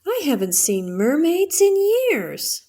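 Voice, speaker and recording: A woman voicing a small, excitable but helpful seabird in a children's storybook. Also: sits high, takes it slowly, pitched above the performer's own voice, heavily acted. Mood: fascinated. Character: small screeching seabird, startled then helpful.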